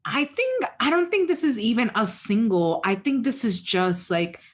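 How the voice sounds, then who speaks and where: A woman's voice: fairly high pitch, sing-song, moderate pace. A woman co-hosting a podcast in a casual, opinionated way, with sassy, slangy talk. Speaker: podcast co-host.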